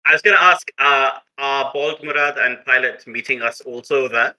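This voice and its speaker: A man's voice: mid-range voice, moderate pace, bright, forceful tone, poor audio. A man chatting casually as a player in a tabletop game. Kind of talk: casual chat.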